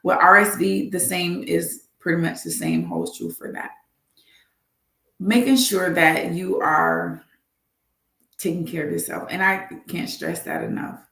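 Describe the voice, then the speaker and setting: Lady doctor doing a live health talk, deep-ish gravelly voice, kinda roomy echo. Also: big pitch swings, measured pace, sharp tone. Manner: earnest, repeats advice for emphasis. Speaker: doctor hosting a health show.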